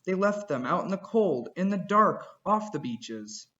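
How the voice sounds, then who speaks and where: A man reading a children's rhyming picture book aloud, with an expressive, bouncy storytelling rhythm. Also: high-pitched, unhurried, dull audio.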